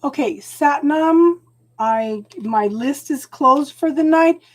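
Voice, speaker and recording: Slow, soothing woman losing her voice. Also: sits high. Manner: slow, soothing, keeps adding "okay?". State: losing her voice, clearing throat. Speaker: tarot reader. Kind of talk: live-stream tarot reading.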